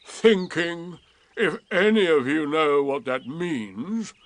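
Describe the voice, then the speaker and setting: A man putting on a slow, glum old donkey. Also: fairly high pitch, lively intonation. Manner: slow, sarcastic drawl. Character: gloomy old grey donkey, slow and sardonic. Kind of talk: storybook reading.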